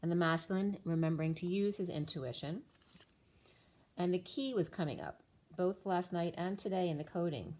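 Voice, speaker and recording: Female tarot reader, low voice going hoarse, rambling casual delivery. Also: lively intonation, normal speed, a little mellow. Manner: rambling, stream-of-thought explanations. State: losing her voice. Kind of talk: casual spiritual reading talk.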